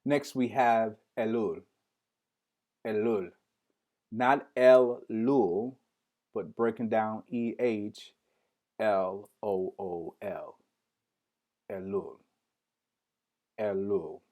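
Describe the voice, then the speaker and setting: A man, speaking in a fairly low voice, leads a streamed lesson on Hebrew words. He says each one several times and spells it out slowly, letter by letter. He restarts phrases often and talks directly to the people watching. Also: steady tone, mellow. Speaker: teacher leading an online Hebrew lesson. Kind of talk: live-streamed religious teaching.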